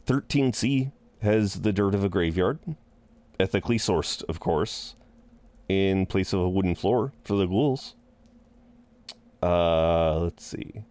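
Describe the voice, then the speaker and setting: A man playing a courteous, chatty incubus innkeeper in an intimate audio roleplay, with polite, hesitant pauses and a flirtatious streak. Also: low voice, darkish tone, no room sound. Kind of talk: intimate scripted roleplay acting.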